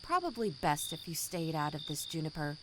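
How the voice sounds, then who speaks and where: Actress doing cold smug lady boss villain, lowish voice, audio drama, crickets and night noise behind her. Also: sing-song, brisk. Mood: smug, menacing. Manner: smug, formal, menacing. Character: cold, condescending villainous female company boss. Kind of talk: scripted audio drama acting.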